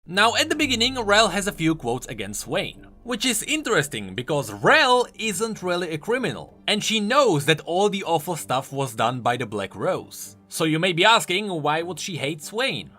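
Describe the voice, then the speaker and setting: A man's voice: sits high, sing-song, moderate pace, few pauses, sharp tone, soft background music. A man presenting explanatory video commentary on game lore, informal and emphatic in his delivery. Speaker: YouTube game lore host.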